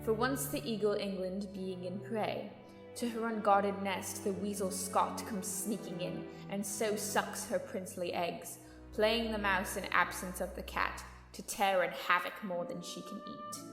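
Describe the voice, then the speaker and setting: A woman's voice: medium pitch, measured pace, lightly acted. Earnest noble courtier played by a woman, mid-range, formal verse, echoing hall. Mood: cautionary. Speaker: amateur actor in student audio drama. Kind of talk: Shakespearean verse acting. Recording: reverberant hall, music underneath.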